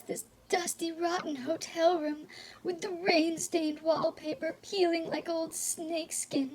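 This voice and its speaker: A woman acting a sultry femme fatale in a noir audio drama, with a high-pitched voice. She is world-weary and sharp-tongued, teasing with theatrical flair. A crowd can clearly be heard behind her. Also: low-quality recording.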